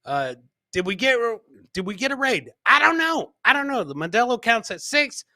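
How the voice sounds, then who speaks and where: A man's voice: extremely high pitch, very animated, moderate pace, sharp tone, no room sound, clean studio sound. A man hosting a live comedy show, riffing on video clips in a boisterous, jokey way and repeating catchphrases. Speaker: podcast host.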